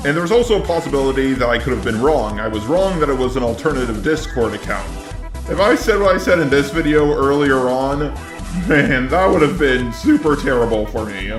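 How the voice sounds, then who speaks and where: Male robotic text-to-speech narrator for rebuttal commentary, average pitch, roomy echo, music underneath. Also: expressive, a little fast. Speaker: commentary video host. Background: background music.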